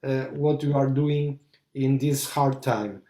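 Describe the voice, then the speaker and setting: A man, a rabbi talking in a halting way, stopping often to search for words. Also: medium pitch, mellow, echoey room. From Argentina. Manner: halting, searching for words, many fillers and restarts. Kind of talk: conversational discussion, switching between English and Portuguese.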